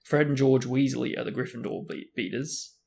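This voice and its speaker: A man voicing a tired schoolboy hero, flat and resigned as he explains things. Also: mid-range voice, moderate pace, creaky.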